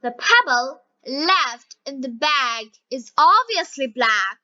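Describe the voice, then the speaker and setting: A woman reading scripted story narration for language learners, word by word with frequent pauses. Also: high-pitched, very animated, pushed and bright, no echo, highs cut off.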